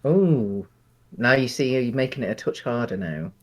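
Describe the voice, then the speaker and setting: A man co-hosting a podcast, chatting casually about films and thinking aloud in a halting way. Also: mid-range voice, animated. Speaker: podcast co-host. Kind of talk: casual film discussion.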